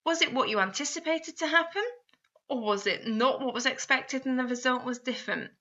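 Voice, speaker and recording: A woman with a fairly high, very bright voice narrates a step-by-step guide. She addresses the listener directly as an instructor would, often with guiding questions. The recording is a little dull and lo-fi. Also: brisk. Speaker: instructor narrating a guidance video. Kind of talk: scripted instructional voice-over. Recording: slightly dull, lo-fi voice-over.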